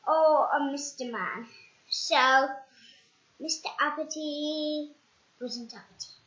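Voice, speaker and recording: A child narrates a storybook in a high, bright voice. The delivery is slow, halting and dramatic, with repeated words. The recording is echoey and slightly dull. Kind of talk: children's storybook read-aloud.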